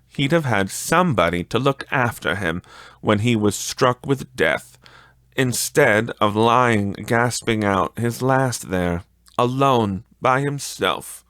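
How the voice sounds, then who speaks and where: A man's voice: mid-range voice, fairly bright tone, dry recording, studio quality. A man voicing a brazen, grasping laundress woman in an audiobook story, with emphatic, sneering, colloquial speech.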